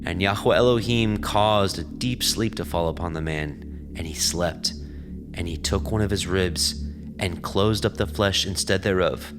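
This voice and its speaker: Deep-voiced male scripture narrator. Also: a little slow. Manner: steady, reverent reading. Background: background music.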